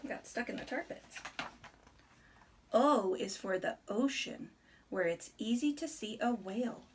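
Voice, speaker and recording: A woman reading a rhyming picture book aloud to a small child in an expressive, deliberate storybook manner, with chatty asides. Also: on the high side, a little hiss or hum.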